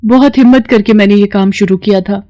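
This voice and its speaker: A woman's voice: mid-range voice, fairly quick, warm tone, no echo, dull audio, close to an everyday voice. A woman voicing an earnest, hopeful young wife and mother in a cartoon story, with a pleading manner. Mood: worried.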